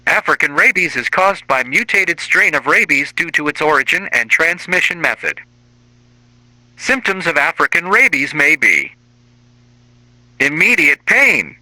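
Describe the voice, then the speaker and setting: A man's voice: a little high, very animated, unhurried, pushed and bright, dry recording, poor audio. A man reading a scripted emergency alert as a broadcast announcer.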